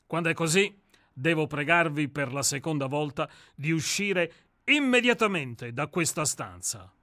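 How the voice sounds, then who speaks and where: A man acts out a haughty famous author in a radio drama. The voice is bright and forceful, a little high for a man, in a dry studio sound. He is curt, worldly and used to giving orders. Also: lively intonation, unhurried, dry recording. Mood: angry, commanding. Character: imperious, irritable celebrated writer, haughty and worldly. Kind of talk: radio play acting.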